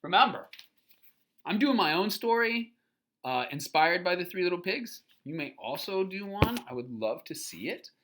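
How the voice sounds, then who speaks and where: A storybook reader giving an expressive children's read-aloud, pausing to explain and ask questions. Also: medium pitch, slight background noise.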